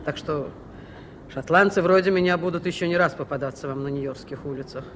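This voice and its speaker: An actress as a self-assured, sardonic woman in a radio drama. She is curt and dry, with a darkly superstitious streak. The old recording has clear background noise. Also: low-pitched, fairly quick, close to an everyday voice. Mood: brisk, sardonic. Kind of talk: Soviet radio play acting. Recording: noisy 1980s radio recording.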